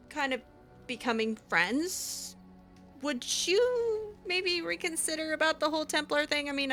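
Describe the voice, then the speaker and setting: A woman improvising in-character dialogue as she plays a roleplaying game, halting and repeating words. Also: high voice, bright, forceful tone.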